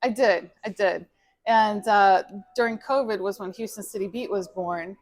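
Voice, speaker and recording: Chatty woman with halting restarts. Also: medium pitch. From Cuba, raised in Texas. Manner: chatty, many ums and restarts, laughs easily. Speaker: guest, media company founder. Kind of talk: casual interview chat.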